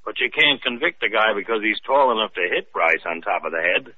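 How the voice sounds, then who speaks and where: An actor playing a brisk, skeptical police lieutenant, in 1940s radio acting style. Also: male voice, on the low side, expressive, breathy edge. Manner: brusque, businesslike. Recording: tinny old radio recording.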